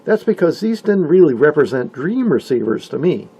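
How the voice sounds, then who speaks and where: A man with a fairly high, gravelly voice narrates a hobby video. His delivery is wry and folksy, like an enthusiast reading his own script. The tone is mellow rather than bright. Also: big pitch swings, moderate pace.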